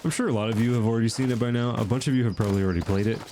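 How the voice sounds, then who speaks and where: A man's voice: medium pitch, steady tone, racing, mellow, a little hiss or hum. A man hosting a casual gameplay video, chatting and thinking aloud with lots of fillers while his nose is stuffed up from allergies. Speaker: gaming channel host.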